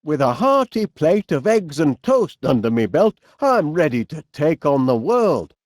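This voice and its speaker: A man voicing a genial, polite, eccentric middle-aged inventor with a Northern English accent in an animated comedy game. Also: a little high, no room sound, studio quality. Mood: genial, chatty. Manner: polite, quaint British turns of phrase, drawn-out words. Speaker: video game voice actor.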